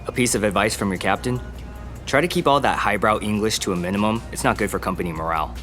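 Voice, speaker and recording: A man's voice: on the low side, quick pace, a bit bright, soft background music, close to an everyday voice. A man playing a bossy army captain, blunt and colloquial with casual authority. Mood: casual, authoritative.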